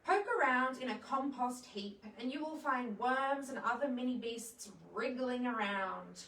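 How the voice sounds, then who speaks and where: A woman's voice: on the high side, unhurried, a bit bright, slight background noise. A woman presenting a children's storytime, explaining things simply and putting questions to young viewers. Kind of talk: children's storytime with songs and read-alouds. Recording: roomy echo, distant microphone.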